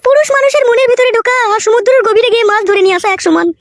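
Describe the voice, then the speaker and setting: A woman's voice: very high-pitched, racing, noisy background. A woman playing a suspicious, sulky second wife in a comedy cartoon, questioning and grudging. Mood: doubting.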